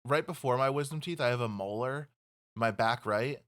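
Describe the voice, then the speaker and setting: Casual podcast co-host, a man of middle pitch, rambling and profane. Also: normal speed, a bit bright. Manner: rambling, swears a lot, laughs often. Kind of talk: casual chat.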